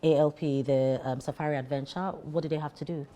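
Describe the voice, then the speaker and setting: A woman's voice: deep voice, fairly even intonation, quick pace, warm tone. A woman hosting a TV interview, asking questions and giving frequent affirming murmurs.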